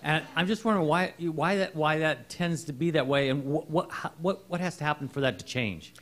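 A man asks a question from the audience floor. He stalls and restarts as he searches for words. His voice sits a bit high for a man. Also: a bit bright. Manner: halting, many restarts. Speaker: audience member asking a question.

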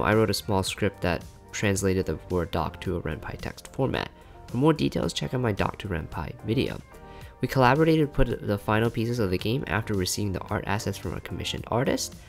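Young male narrator for a dev log: low, gravelly voice, informal explainer delivery, faint music underneath. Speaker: software engineer and video creator narrating a dev log. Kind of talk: scripted narration.

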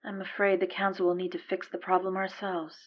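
Casting: actress for formal, stern councilwoman in audio roleplay, lowish measured voice, dull sound with rumbling noise. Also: monotone, natural voice. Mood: cold regret. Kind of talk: audio roleplay drama acting.

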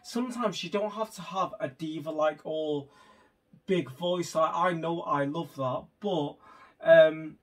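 A man's voice: on the high side, measured pace. A man in his thirties giving casual, chatty commentary as host of a music reaction channel, recorded indoors on a camera a little way off. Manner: chatty, informal. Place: small room at home, indoors. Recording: distant camera microphone.

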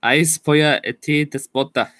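Slow-talking man on a home webcam, losing his voice. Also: on the high side, steady tone, pushed and bright, no room sound, studio quality. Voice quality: slow, halting, with frequent restarts and laughs. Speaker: live stream host. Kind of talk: casual live stream chat with viewers. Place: small home room indoors. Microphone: webcam at desk.

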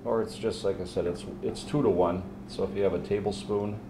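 A man's voice: sits low, steady tone, a little fast. An older American man co-hosting a cooking show, casually passing on kitchen tips. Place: indoor kitchen, steel worktop. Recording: noisy kitchen room sound.

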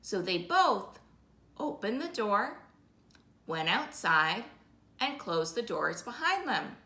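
A woman tells a children's story with big swoops in pitch and a slow, sing-song rhythm. Her voice is bright and clearly projected, with some room echo around it. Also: sing-song. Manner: slow, sing-song, repetitive rhythmic phrasing with drawn-out words. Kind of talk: animated oral storytelling for young children. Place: living room, indoors. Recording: roomy indoor echo.

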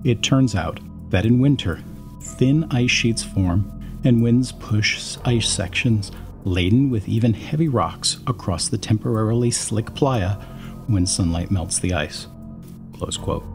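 A man giving scripted documentary narration, reading long quotes aloud in a measured, explanatory way. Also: lowish voice, expressive, over music.

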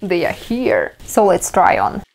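A woman's voice: on the low side, sing-song, measured pace, vocal fry, darkish tone. A young woman from Russia with a Russian accent, chatting casually while unboxing a haul in her bedroom, marvelling at each item. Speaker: vlogger. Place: small bedroom, indoors.

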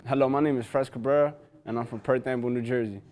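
A man's voice: medium pitch, steady tone, fast, darkish tone, a little hiss or hum. A young man, a college basketball player, introducing himself sincerely in a short interview. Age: young adult. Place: empty basketball arena.

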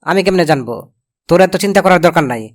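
A man's voice: high voice, lively intonation, fast talker. A man voicing a brusque, dry village uncle in a comedy cartoon, with a colloquial Bangladeshi accent. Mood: dry, dismissive. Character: gruff, grudging village uncle looking after nephew.

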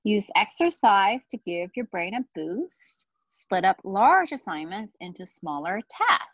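A woman in her forties reading a picture book aloud to children, deliberate and explanatory, with casual asides. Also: medium pitch, very animated, slightly rough, no echo, highs cut off. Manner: deliberate, explanatory, speaking to young listeners. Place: outdoor patio beside trees.

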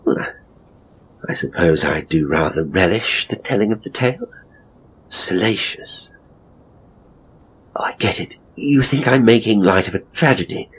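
A man playing a pompous, theatrical ghost tour guide, telling gruesome tales with suspenseful, drawn-out phrasing in a horror audio story. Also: deep voice, expressive, slightly rough, heavily acted, rough recording. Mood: affronted, defensive.

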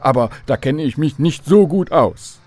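A man's voice: on the high side, expressive, normal speed, a little mellow. A man playing a knowledgeable storyteller who explains history precisely to children in a scripted radio drama. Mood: informative.

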